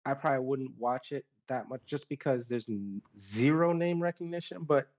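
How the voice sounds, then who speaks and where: A man's voice: medium pitch, moderate pace, a little mellow. A man chatting casually as a podcast panelist, giving opinions in a rambling way and qualifying his points as he goes. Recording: dull lo-fi mic.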